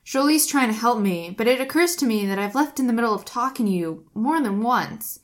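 A woman voicing a stiff, earnest young man who speaks bluntly and haltingly. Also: fairly high pitch, expressive, voice pushed up, close to an everyday voice.

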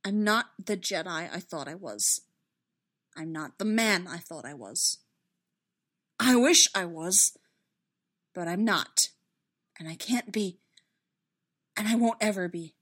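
A woman voicing an anguished, self-doubting young male Jedi in a story, impassioned and halting. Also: lowish voice, animated, a bit bright, heavily acted. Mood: wretched longing. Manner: impassioned, halting, insistent repetition.

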